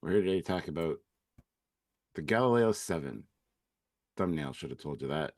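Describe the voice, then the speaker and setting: A man with a very deep voice, made raspy and congested by a cold. He talks in a laid-back, halting way, with plenty of pauses and hedges as he reviews an episode live. The sound is dry and close, with no room around it. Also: animated, no echo. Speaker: co-host. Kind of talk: live-stream review chat.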